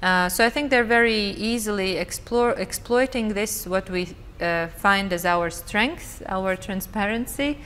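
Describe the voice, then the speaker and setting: A woman on a conference panel, speaking thoughtfully with frequent pauses and fillers. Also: medium pitch, a bit bright. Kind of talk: panel discussion. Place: conference hall. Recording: reverberant hall with faint noise.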